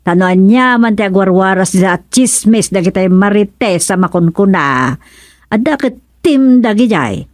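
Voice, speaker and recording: A woman's voice: mid-range voice, expressive, measured pace, a little mellow, no room sound, clean studio sound, natural voice. A woman acting as an opinionated, chatty village secretary in an Ilocano radio comedy drama, with an Ilocano accent. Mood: disapproving.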